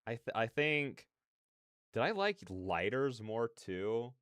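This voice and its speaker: A man gives casual reaction commentary in a smooth, clear voice at an average pitch. He rambles through his opinions conversationally, and the sound is close and dry. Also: very animated, takes it slowly, fairly bright tone, dry recording. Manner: rambling, peppered with "like" and "you know". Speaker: reaction video host.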